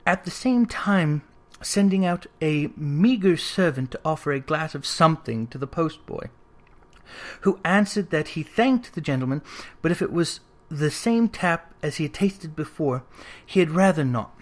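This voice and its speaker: A narrator reading literary fiction aloud in an expressive, theatrical storytelling style. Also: mid-range voice, measured pace. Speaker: audiobook narrator.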